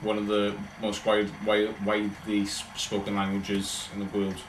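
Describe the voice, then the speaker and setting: A man's voice: fairly low pitch, completely flat delivery, moderate pace, reverberant, noisy background. A man with a Welsh accent, chatting casually and rambling on a livestream as he answers his viewers. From Wales. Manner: rambling, chatty, talks in loose run-on thoughts.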